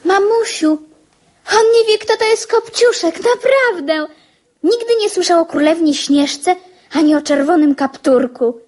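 A woman's voice: very high-pitched, a little slow, darkish tone. A woman playing an eager, chatty young schoolboy who talks earnestly in a radio drama.

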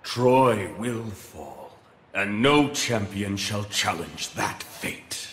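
A man's voice: on the low side, lively intonation, very slow, a bit bright, exaggerated voice. An actor playing a huge, implacable male god, ominous and portentous, in a video game. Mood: menacing. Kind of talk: dramatic video game acting. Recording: echoing voice with faint noise.

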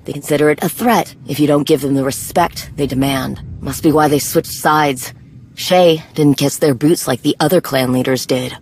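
Game warrior woman, played by an actress: low-pitched, blunt, dry, proud, no time for niceties. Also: steady tone, normal speed, a little mellow, slight background noise, close to an everyday voice. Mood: wry, analytical. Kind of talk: video game cutscene acting.

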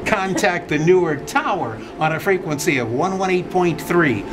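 A man in his sixties giving an interactive guided demonstration to visitors, speaking emphatically in short phrases with pauses and questions. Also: sits high, lively intonation, heavy background noise. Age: 60s. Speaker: museum docent. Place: indoor museum exhibit. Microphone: visitor's handheld camera a short distance away.

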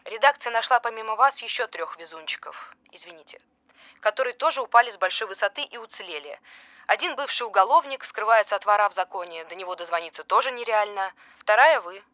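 A woman's voice: medium pitch, animated, quick pace, bright, forceful tone, dry recording. An actress playing a pushy, desperate female journalist in an audio drama, politely insistent in her questioning. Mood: frank, pleading. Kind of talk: naturalistic audio drama acting.

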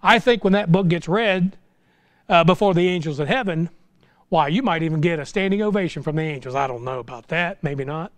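A man's voice: a little high, fairly quick, dry recording. A middle-aged American man preaching an informal sermon in a folksy, exhortative way, full of rhetorical questions. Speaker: pastor preaching. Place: small church sanctuary, indoors.

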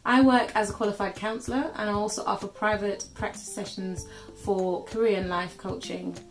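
Friendly woman co-hosting over soft music. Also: mid-range voice, steady tone, moderate pace, gravelly, poor audio. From Germany. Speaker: channel co-host. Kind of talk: scripted channel introduction. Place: living room, on a sofa. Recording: light music underneath. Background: faint background music.

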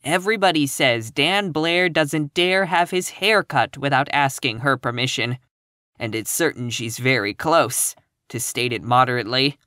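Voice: mid-range voice, normal speed, bright, forceful tone, dry recording, clean studio sound, natural voice. A narrator voicing a frank, gossipy teenage country girl in a storybook, matter-of-fact and confiding.

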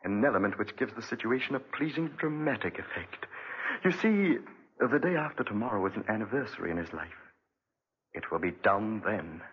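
An actor playing a cruel, obsessive, vengeful wealthy gentleman in a 1940s radio drama, with an imperious, theatrical delivery. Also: lowish voice, expressive, fairly quick, husky. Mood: grimly eager. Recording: muffled vintage 1940s radio recording.

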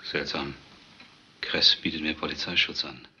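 A man's voice: extremely low pitch, fairly even intonation, measured pace, pushed and bright, a little hiss or hum, exaggerated voice. An actor playing a curt, proud man in a crime radio play. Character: curt, proud man refusing police protection. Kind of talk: German crime radio drama acting. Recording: dull sound, faint ticking ambience.

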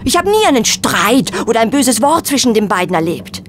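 An actress playing a loyal, chatty household servant in a German audio drama, earnest, gossipy and deferential. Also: female voice, a little high, very animated, brisk, a little hiss or hum. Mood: earnest dismay.

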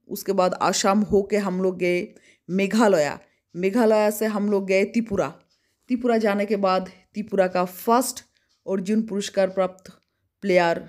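A woman's voice: mid-range voice, darkish tone. A woman travel vlogger from West Bengal chatting casually on a road trip, listing places and costs as she goes, recorded on a phone held at arm's length in a car and outdoors.

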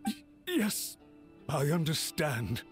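An actor voicing an anxious, humbly pleading official in an anime video game, deferential and apologetic. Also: male voice, fairly high pitch, very animated, slow pace, faint music behind. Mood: submissive.

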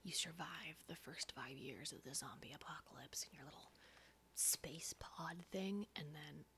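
A woman's voice: sits low, a little slow, sharp tone, a little hiss or hum. A woman playing a brash, wisecracking zombie-apocalypse survivor in a whispered ASMR horror roleplay, casual, rambling and sweary. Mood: wry, focused.

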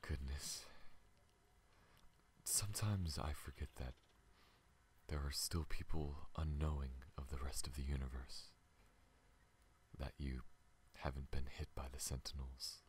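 A man voicing a gentle, shy, apologetic alien in an intimate ASMR roleplay, soft-spoken and hesitant, often slipping into a whisper. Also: rumbling low, fairly even intonation, takes it slowly, long pauses, slight background noise. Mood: wistful, curious.

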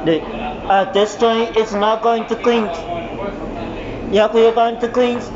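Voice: mid-range voice, steady tone, a crowd in the background. A train conductor announces over the public address, stumbling and repeating phrases with frequent hesitations. The voice comes through an echoey, dull-sounding speaker in a crowded subway car. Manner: halting, repeats itself with many "uh"s. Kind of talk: live PA announcements.

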